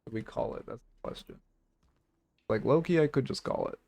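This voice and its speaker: A hoarse man giving blunt, rambling game coaching notes on a livestream, full of fillers and restarts. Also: medium pitch, brisk, a little mellow, no room sound. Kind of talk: casual livestream coaching talk.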